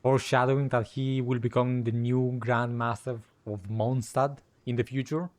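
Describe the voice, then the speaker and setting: A man's voice: mid-range voice, level tone, normal speed, a little mellow. A man giving casual, off-the-cuff reaction commentary as a gaming content creator. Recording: faint game music behind. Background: video game music.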